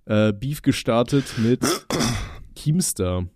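A man's voice: medium pitch, expressive, very slow, no echo, clean studio sound. A young man co-hosting a podcast, rambling casually with stammering restarts and jokes, getting over a cold. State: recovering from a cold. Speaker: podcast co-host. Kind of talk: casual chat.

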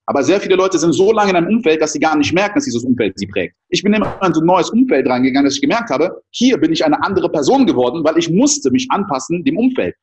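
Interview guest, man in his 30s, raised in the Ivory Coast; rapid, animated, filler-heavy storytelling. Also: a little high, dull audio. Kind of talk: casual interview talk.

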